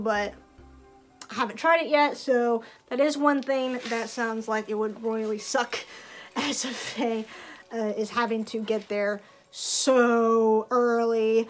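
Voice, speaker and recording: Chatty young woman, fairly high voice. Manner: chatty, with filler likes and laughs. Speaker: vlogger and festival performer. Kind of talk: casual chat to camera.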